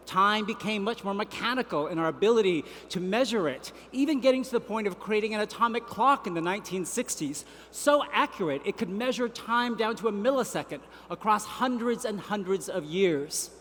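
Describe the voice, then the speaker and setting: A man giving a prepared keynote speech on a conference stage, telling a story at a measured pace with deliberate pauses. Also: high voice, expressive, fairly bright tone, a little hiss or hum. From Vietnam. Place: large conference hall. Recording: reverberant hall, faint ambience.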